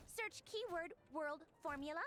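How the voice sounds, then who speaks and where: A woman's voice: very high-pitched, a little slow, fairly bright tone, slight background noise. A woman voicing a studious, analytical young scholar in a video game, precise and bookish as she thinks aloud. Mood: absorbed, analytical. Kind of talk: animated video game voice acting.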